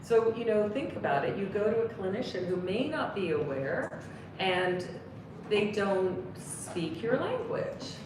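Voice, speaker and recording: A woman's voice: mid-range voice, sing-song, measured pace. A woman from Canada, a clinician on a panel, explaining earnestly and asking many rhetorical questions. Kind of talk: panel discussion answer. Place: briefing room. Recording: echoey room, distant mic, background noise.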